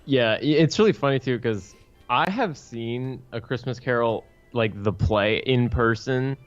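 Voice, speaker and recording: A man's voice: medium pitch, measured pace. Man chatting casually on dull, lo-fi audio. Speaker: podcast co-host. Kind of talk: casual chat. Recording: dull lo-fi sound, music behind.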